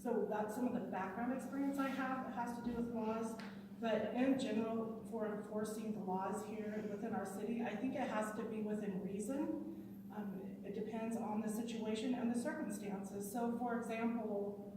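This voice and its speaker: A woman's voice: fairly high pitch, steady tone, normal speed. A woman running for city council, answering earnestly and explaining her view with everyday examples at a candidate forum. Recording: echoey hall from far off, noisy.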